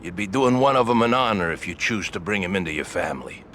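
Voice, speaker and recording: An actor playing a crude, sly old-guard mobster in a video game, blunt and needling. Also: male voice, low-pitched, lively intonation, quick pace, fairly bright tone. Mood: dry, businesslike. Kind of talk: video game cutscene acting.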